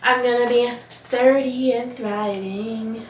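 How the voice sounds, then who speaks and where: A woman's voice: a little high, takes it slowly, slight background noise. Chatty young woman in an echoey room. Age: 20s, about to turn 30. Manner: chatty, relaxed, talks to viewers as "guys". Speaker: vlogger. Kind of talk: casual vlog chat. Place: home office.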